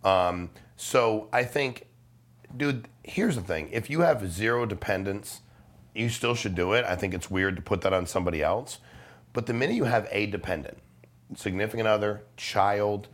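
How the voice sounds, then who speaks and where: Very low-voiced, blunt man. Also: normal speed. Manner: blunt, emphatic, swears, uses rhetorical questions and slang. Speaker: podcast guest, life insurance salesman. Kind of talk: casual, persuasive interview talk.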